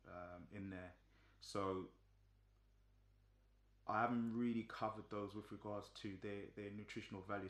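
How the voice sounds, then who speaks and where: A man from Zimbabwe with a low voice talks through a topic in a relaxed, chatty way. He often stops on "um" and repeats himself as he goes. The room gives his voice a clear echo. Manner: relaxed, many ums and restarts. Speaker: vlogger. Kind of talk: casual explanatory talk. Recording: echoey room.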